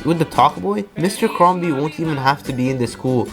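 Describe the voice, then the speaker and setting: A teenage man reading scripted narration as the presenter of a high school show. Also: expressive, fairly quick. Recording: music playing under the voice.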